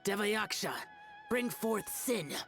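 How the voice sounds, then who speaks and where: A man voicing a stern, ancient immortal warrior in youthful form, speaking in a solemn, formal, measured way in a video game. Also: high voice, expressive, creaky, fairly bright tone, faint music behind, heavily acted. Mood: solemn instruction.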